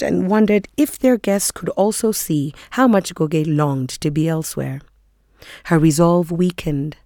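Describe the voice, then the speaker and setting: A woman's voice: fairly low pitch, a little mellow, no room sound, clean studio sound. A woman reading scripted narration from a novel.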